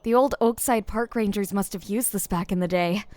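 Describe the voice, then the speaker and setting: A woman's voice: mid-range voice, normal speed, warm tone, dry recording, close to an everyday voice. A woman playing a wary, exasperated young explorer who mutters wryly to herself in an audio drama. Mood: wary, puzzled. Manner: muttering, wry. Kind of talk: dramatized fan fiction podcast.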